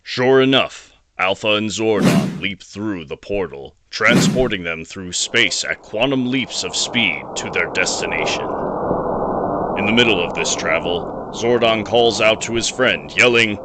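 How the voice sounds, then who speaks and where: A man's voice: fairly low pitch, lively intonation, a little slow, heavy background noise. A man narrating a scripted audio drama in a dramatic storytelling style.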